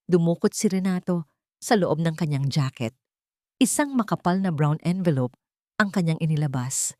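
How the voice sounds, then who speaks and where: A woman's voice: lowish voice, lively intonation, normal speed, warm tone, no room sound, clean studio sound. A woman reading a scripted dramatic story as its narrator.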